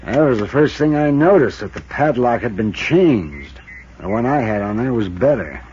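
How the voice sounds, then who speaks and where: An actor playing a plain-spoken, rattled small-town cabin owner in 1950s radio style, folksy. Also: male voice, mid-range voice, animated, normal speed, darkish tone, breathy edge. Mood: matter-of-fact. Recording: muffled, hissy 1950s radio recording.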